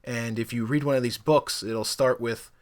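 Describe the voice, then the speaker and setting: A man's voice: mid-range voice, steady tone, moderate pace. A young man whose first language is Spanish, talking casually to camera about learning languages, rambling with lots of fillers. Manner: conversational, rambling with frequent fillers. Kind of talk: casual opinion monologue.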